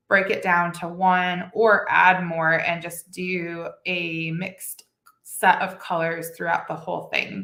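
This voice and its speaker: Slow, congested woman teaching beadwork. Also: on the low side, creaky, a bit bright, reverberant. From Michigan. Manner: chatty, with small laughs and self-corrections. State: congested, slightly nasal. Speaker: beading instructor. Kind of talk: live craft tutorial.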